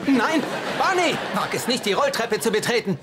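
A man's voice: very high voice, sing-song, moderate pace, crowd noise, background noise. An actor dubbing an earnest, easily flustered young man in a German sitcom. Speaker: dub actor.

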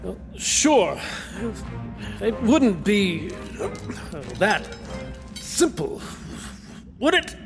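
A man plays a wary male swordsman with a high voice and very slow delivery. He sounds hesitant and dry in a fantasy radio play, in long reverb. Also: very animated, vocal fry, exaggerated voice. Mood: doubtful. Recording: long hall reverb over music.

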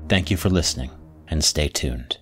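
A man's voice: rumbling low, moderate pace, a bit bright, husky. A man reading scripted podcast credits as the announcer.

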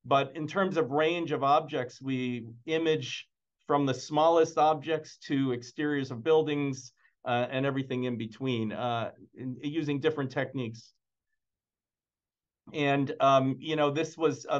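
A dull, slightly lo-fi video call carries a man's medium-pitched voice. He presents slides informally as a staff presenter. His phrasing is halting and he often repeats words as he goes. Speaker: presenter, museum imaging department staff. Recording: dull, band-limited video call audio.

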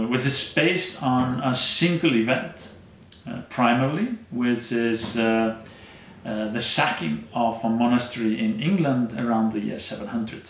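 Icelandic guy in his 30s, raspy low-gravel voice, lots of uhs, telling old stories. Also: fairly bright tone, roomy sound, slight background noise. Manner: hesitant, many fillers, gestures while telling stories. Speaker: interview guest. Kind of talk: conversational storytelling. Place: dim indoor room, seated in armchair.

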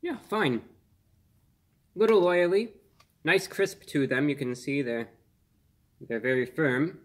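Measured young man reviewing food. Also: a little high. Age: 20s. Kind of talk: casual product review to camera. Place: small indoor room.

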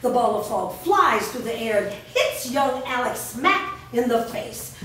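A woman reads stage directions aloud as scripted narration. She sits far from the mic in a very echoey room. There is faint noise in the recording. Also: medium pitch. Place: bare studio stage room, indoors. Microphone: far camera.

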